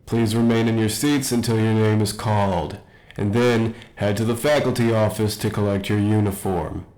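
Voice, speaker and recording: A man playing an academy principal who makes formal, measured announcements in an audio roleplay. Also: on the low side, steady tone, a little mellow, lightly acted, poor audio.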